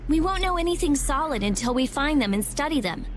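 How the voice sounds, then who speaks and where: A female actor voicing a blunt, brainy teenage girl researcher in a video game, brisk and insistent. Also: high-pitched, expressive, a little hiss or hum. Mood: pondering. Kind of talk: English video game voice acting.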